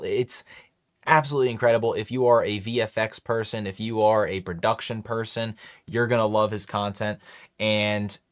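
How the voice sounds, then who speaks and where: A man's voice: fairly low pitch, clean tone, no room sound. A man hosting a casual live stream from a home studio, chatty and upbeat, talking to his chat. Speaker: livestream host.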